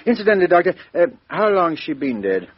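Commanding inspector, animated, husky, dry lo-fi 1950s radio. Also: male voice, high voice, no room sound, full character voice. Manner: brisk, commanding, lively. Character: brisk middle-aged police inspector. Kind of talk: 1950s radio drama acting. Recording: dry, dull lo-fi old radio recording.

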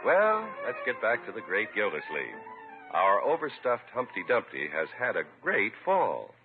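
A man's voice: on the low side, wildly swooping pitch, measured pace, music in the background. A male announcer reading a 1950s radio commercial with polished, persuasive salesmanship. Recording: tinny, narrow-band old radio recording.

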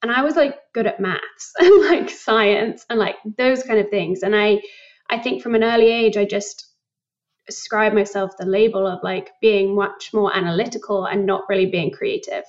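A young woman from England, a company founder talking in a casual interview, thoughtful and quick to laugh. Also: medium pitch, muffled recording. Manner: reflective, laughs often, many likes. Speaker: guest, startup founder.

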